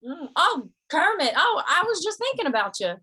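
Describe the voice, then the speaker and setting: A woman's voice: very high voice, big pitch swings, moderate pace, sharp tone, full character voice. A woman playing an amiable, curious cowboy in an old-time western radio drama, with a mild, hesitant, folksy way of talking. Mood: awkward.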